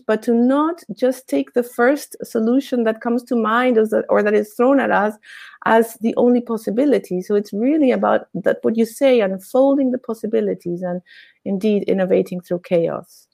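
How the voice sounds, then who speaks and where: A woman's voice: fairly high pitch, moderate pace, vocal fry, darkish tone. A middle-aged woman from Argentina, a podcast guest talking reflectively and at length. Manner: reflective, expansive, laughs easily. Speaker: podcast guest, innovation consultant and coach. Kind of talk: conversational podcast interview.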